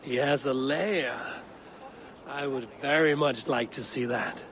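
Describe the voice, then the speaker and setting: Guy acting a sly smooth-talking game adventurer, understated, mid pitch, loud background noise. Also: slow, close to an everyday voice. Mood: smooth, coaxing. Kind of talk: video game dialogue acting.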